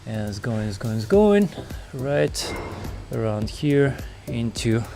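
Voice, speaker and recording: Male how-to host, soft-edged dark tone, medium pitch, casual and rambling delivery, light music underneath. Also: big pitch swings, slow pace, creaky. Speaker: host of a truck build how-to video. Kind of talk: casual unscripted hands-on walkthrough.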